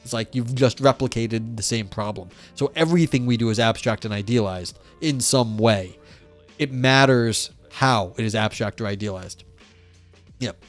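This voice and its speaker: A man's voice: medium pitch, moderate pace, faint music behind. A man reading philosophy papers aloud on a live stream and chatting with viewers in a rambling, filler-heavy way, his voice wearing thin. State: losing his voice.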